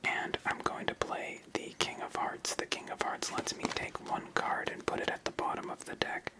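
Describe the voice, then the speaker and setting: A host whispering ASMR commentary while playing a solo card game, explaining each move step by step in an unvoiced whisper. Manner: slow, step-by-step narration of moves.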